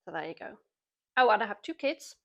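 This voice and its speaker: A woman's voice: mid-range voice, very animated, a little fast, gravelly, fairly bright tone. A British woman in her forties, chatting casually to camera with wry, self-mocking asides. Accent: British English. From Britain. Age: 40s. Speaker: vlogger.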